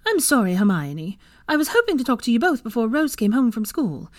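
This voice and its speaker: Audiobook role: woman acting an ageing matriarch, high, imploring voice full of guilt, dry recording. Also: very animated, fairly quick, dry recording. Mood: apologetic. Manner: pleading, earnest. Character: older mother, guilt-ridden, pleading, emotional matriarch.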